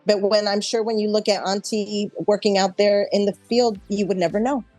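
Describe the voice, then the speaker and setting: A woman's voice: medium pitch, fairly even intonation, moderate pace, darkish tone, no room sound, soft background music. A woman with an American accent chatting casually as an interview guest, explaining things in a reflective way. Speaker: interview guest, actor and improv performer.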